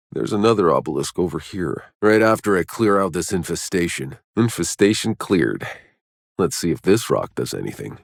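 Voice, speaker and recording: A man playing a gruff, wry, battle-worn soldier in a sci-fi video game. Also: very deep voice, no echo, clean studio sound, full character voice. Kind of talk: video game voice lines, dramatic acting.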